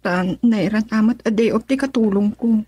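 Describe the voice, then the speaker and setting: A woman's voice: on the high side, normal speed, dark tone, husky, no echo. An actress playing a weak, ailing young woman pleading in a radio drama, with a Filipino accent. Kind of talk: Ilocano radio drama acting. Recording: dry studio, faint music behind.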